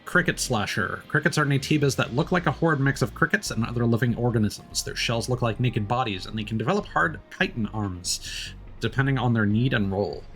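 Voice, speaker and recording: A man's voice: mid-range voice, a little fast, a bit bright. A man live-streaming a video game, reading on-screen text aloud and commenting as he plays. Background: faint game music.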